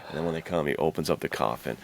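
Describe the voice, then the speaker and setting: A man's voice: rumbling low, rapid-fire, creaky, a little hiss or hum. A man co-hosting a podcast in casual, enthusiastic chat, retelling things with slangy emphasis. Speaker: podcast co-host.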